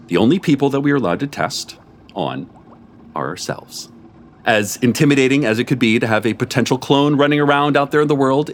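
A man reading a comic story aloud before a live audience, with expressive, animated storytelling and a deadpan edge. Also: mid-range voice. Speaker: story reader.

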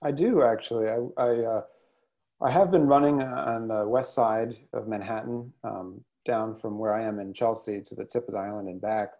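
A man speaking as an interview guest, answering in a thoughtful, measured, reflective way with literary phrasing. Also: on the low side, fairly even intonation, warm tone. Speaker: interview guest, poet and editor.

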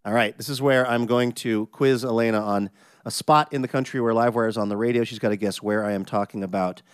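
A man hosting a radio show in a casual, chatty way, his voice a bit hoarse from a cold. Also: on the low side, steady tone, fast, no room sound, clean studio sound. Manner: upbeat, chatty, jokey.

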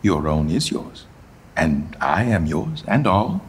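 A man's voice: low voice, big pitch swings, slow, slight background noise, exaggerated voice. A man playing a proud, doomed king in a Shakespeare radio play, mocking and wry, dropping to whispers and flaring up. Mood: resigned. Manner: mocking, wry, swings between whispers and outbursts. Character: doomed proud male king, bitter and theatrical.

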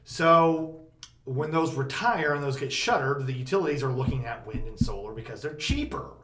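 A man hosting a podcast about energy policy, talking casually with a loud, projecting voice and plenty of emphasis. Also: medium pitch, expressive, moderate pace. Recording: roomy echo, faint background noise.

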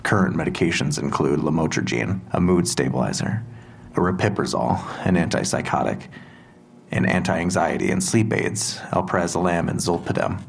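Deep, gravelly, calm police interrogator man. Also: heavily acted. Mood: clinical, insistent. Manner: measured, softly insistent, matter-of-fact. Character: calm, probing male police interrogator, politely relentless. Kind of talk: full-cast audio drama acting.

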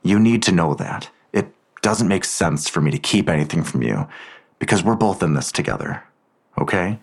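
An actor playing a protective, secretive man digging into a crime, speaking in a measured, reassuring way in an audio drama. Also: low-pitched, animated, vocal fry, husky, full character voice. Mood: earnest, reassuring.

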